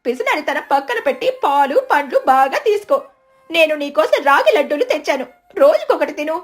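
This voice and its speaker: A woman's voice: high-pitched, very animated, a little fast, soft background music. A woman voicing a motherly female eagle in a cartoon story, with an emphatic, fussing manner. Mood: motherly advice. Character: motherly, indignant female eagle, an older friend.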